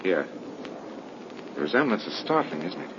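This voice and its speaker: An actor playing a gallant young gentleman with florid, courteous speech in a 1930s radio drama. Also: deep voice, sing-song, moderate pace, creaky, full character voice. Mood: intrigued. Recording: very noisy, muffled 1930s radio recording.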